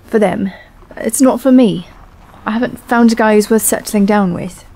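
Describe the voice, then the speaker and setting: A woman's voice: mid-range voice, moderate pace, dark tone, natural voice, poor audio. A woman playing a weary, irritable young woman traveller in an audio drama, sighing and sarcastic. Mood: exasperated, sarcastic. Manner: exasperated, sarcastic sighing delivery.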